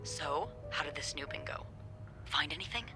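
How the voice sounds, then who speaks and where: An actress playing a breezy, playful receptionist and nosy confidante in a video game. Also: female voice, low voice, lively intonation, normal speed, sharp tone, soft background music. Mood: playful, intrigued. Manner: playful, conspiratorial.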